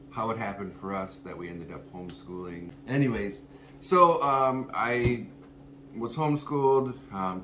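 A man, telling his background in a halting way with many ums. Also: lowish voice. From Minnesota, USA. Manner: halting, lots of ums and restarts. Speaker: co-host, massage therapist. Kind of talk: casual personal story to camera. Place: home kitchen, indoors, small room. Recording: echoey room with background noise.

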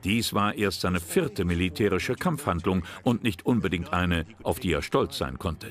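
A deep male voice narrates a history documentary in a calm, measured way. Steady music plays clearly under the voice-over throughout.

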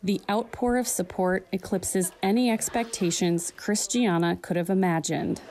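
A woman reporter reading a scripted news voice-over in a steady, clear manner. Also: medium pitch, normal speed, low-quality recording.

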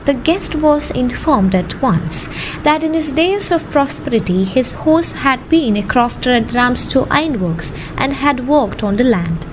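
A woman's voice: high-pitched, expressive, measured pace, background noise. A young woman reading scripted story narration for an amateur radio drama. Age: young adult.

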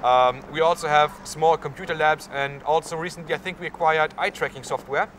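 A man's voice: fairly high pitch, fairly even intonation, fairly quick, a bit bright. A young man with a German accent, a university student answering interview questions outdoors in a town square, with many fillers. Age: young adult. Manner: lots of fillers, gestures while talking. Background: faint street and traffic noise.

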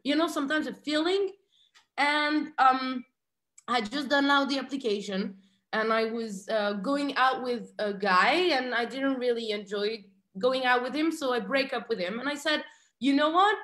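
A woman in her thirties, a guest on a video call interview, chatty and animated, telling stories from her bright room at home. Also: sits high, normal speed, echoey room. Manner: chatty and animated, laughs often, gestures with hands. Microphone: video call webcam.

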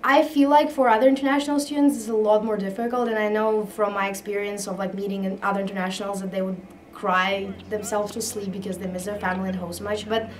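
A woman's voice: mid-range voice, little pitch movement, fast, recorded from a distance, a little hiss or hum, low-quality recording. A young woman from Russia, a college tennis player speaking fluently in a casual interview. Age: young adult. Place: office indoors.

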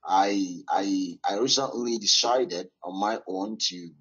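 A man's voice: low voice, a bit bright, breathy edge. Hesitant man on a muffled call. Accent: foreign English. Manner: hesitant, many fillers, halting. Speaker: caller. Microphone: online voice call.

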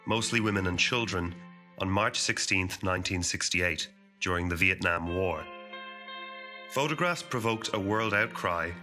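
Deep, bright-voiced man narrating over music. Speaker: narrator of a historical note. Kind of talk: scripted documentary-style narration. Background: guitar music.

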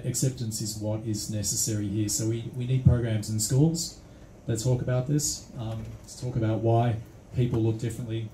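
An earnest Australian man answers at a forum, halting and full of fillers. His tone is very dark and mellow, and the venue around him is echoey with faint noise. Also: mid-range voice. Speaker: election candidate on a panel. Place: small venue stage, seated panel.

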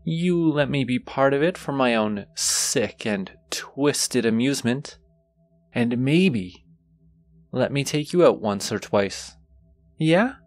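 A man's voice: medium pitch, lively intonation, takes it slowly. A man playing a teasing, flirtatious, gleefully wicked demon suitor in an intimate audio roleplay, drawling seductively to the listener. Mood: mock solemn, teasing. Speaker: voice actor.

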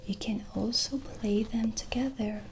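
A middle-aged woman hosting an ASMR roleplay, explaining softly and soothingly in a gentle whisper, close to the microphone. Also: on the high side, fairly even intonation, a little slow, a little hiss or hum. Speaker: ASMR host presenting a sound healing roleplay. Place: small dim indoor set.

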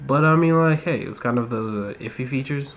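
A young man from the United States giving relaxed commentary while playing a mobile football game, muttering asides and ranting now and then. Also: medium pitch, brisk, dull audio. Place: indoor room.

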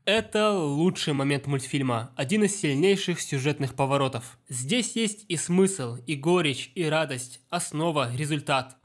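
A man narrating a film review, enthusiastic and analytical, on a voice-over mic. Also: on the high side. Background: film music.

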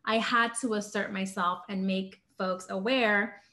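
A woman's voice: medium pitch, fairly even intonation, measured pace. A young woman hosting a podcast interview over a video call, speaking in an articulate, reflective way. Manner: articulate, reflective, warm encouraging. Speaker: podcast host. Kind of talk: conversational interview. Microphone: webcam on video call.

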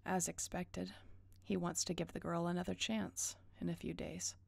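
A woman's voice: on the low side, level tone, moderate pace, vocal fry, a little mellow, breathy edge, dry recording, full character voice. A woman playing a cool, dutiful werewolf enforcer in an audio drama, curt and controlled. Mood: cool, firm. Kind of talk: audio roleplay drama acting.

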